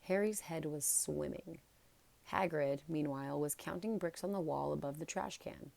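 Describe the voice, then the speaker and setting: Low, creaky-voiced woman reading a story. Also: normal speed, darkish tone. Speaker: storybook narrator. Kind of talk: read-aloud narration of a children's novel.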